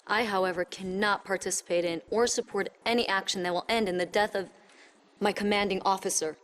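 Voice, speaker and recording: An actress playing a principled military major in a game cutscene, speaking firmly and seriously. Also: female voice, medium pitch, fairly even intonation, fairly quick, fairly bright tone, lightly acted. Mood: grave, firm. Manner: firm, serious. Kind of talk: dramatic game cutscene acting.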